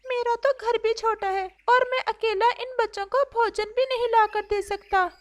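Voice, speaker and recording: A woman voicing a concerned, apologetic male parrot in a cartoon story, fretful and excitable. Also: very high voice, normal speed, voice pushed up. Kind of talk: Hindi cartoon story acting.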